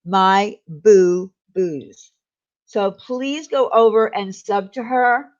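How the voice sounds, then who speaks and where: Slow, chatty New woman. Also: mid-range voice. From New York. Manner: chatty, rambling, laughs easily. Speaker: livestream host. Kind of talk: casual live chat reading viewer comments. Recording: dull lo-fi livestream audio.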